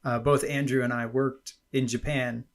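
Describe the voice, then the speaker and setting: A man's voice: mid-range voice, level tone, moderate pace. A middle-aged man hosting a podcast interview, chatty and rambling, laughing often. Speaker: podcast host. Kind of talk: casual interview chat.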